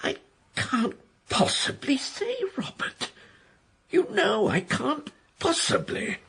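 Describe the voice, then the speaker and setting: An actor playing a dithering, hesitant older family friend in a radio comedy. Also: male voice, very high-pitched, sing-song, takes it slowly, a bit bright, husky, full character voice. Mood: flustered. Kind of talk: radio drama acting.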